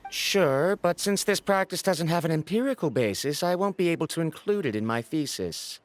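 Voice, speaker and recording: A woman's voice: low-pitched, expressive, moderate pace, no room sound. A woman voicing a serious, skeptical scholar in a video game, formal, pedantic and impatient to get to business. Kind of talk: dry video game character acting.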